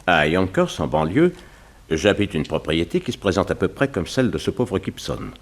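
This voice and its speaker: A wealthy businessman under threat in a vintage radio thriller. His voice is low, with lively swings of intonation. He explains his situation formally, as a concerned father. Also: normal speed, heavily acted. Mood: concerned, explanatory. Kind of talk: 1960s French radio crime drama acting.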